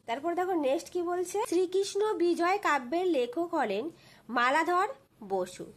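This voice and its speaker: Female tutor, very high voice, walking school pupils through questions, often checking they follow. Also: expressive, measured pace. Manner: instructional, frequent checking tag questions. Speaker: teacher.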